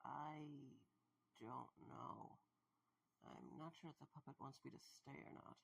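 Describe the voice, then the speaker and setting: A man acting in an audio drama as a subdued, empathetic man who speaks haltingly. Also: medium pitch, gravelly, fairly bright tone, husky, full character voice. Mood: serious, probing. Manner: hesitant, halting delivery. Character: Mike, subdued, empathetic man facing haunted animatronics.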